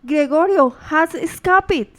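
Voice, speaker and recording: A woman's voice: high voice, slow pace, a bit bright. A woman playing a protective young sister in a radio drama. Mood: grave.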